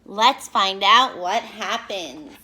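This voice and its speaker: A woman reading a picture book aloud to young children in an expressive, teacherly way, pausing to explain and ask questions. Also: mid-range voice.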